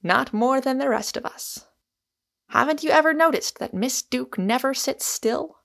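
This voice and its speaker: This is a woman acting a gloomy Irishman from a storybook, sardonic and bitter. The voice is creaky and deliberate, with a deadly calm irony in every phrase. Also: sits high, voice pushed up, full character voice. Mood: mock-soothing, ironic. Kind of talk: acted audiobook dialogue.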